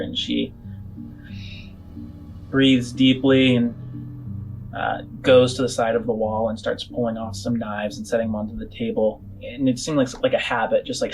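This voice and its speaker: A man's voice: mid-range voice, poor audio. A man narrating a tabletop game as game master, improvising and searching for his words.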